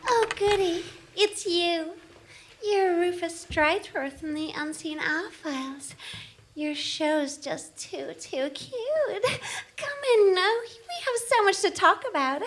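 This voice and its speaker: An eerie little waif, speaks in a tiny, extremely high voice. She is slow, coy and gushingly sweet, with a sinister edge, as in a comic radio play. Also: fairly bright tone, slight background noise, full character voice. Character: eerily sweet, gushing young waif of a girl. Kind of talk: comic audio drama acting.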